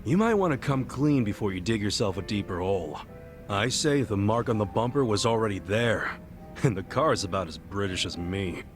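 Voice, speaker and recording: Man playing lively, blunt street-smart sidekick. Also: on the low side, moderate pace, soft background music, lightly acted. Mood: wry, challenging. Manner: casual, blunt, wry. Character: street-smart, skeptical male sidekick of an ex-cop. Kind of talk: video game cutscene dialogue.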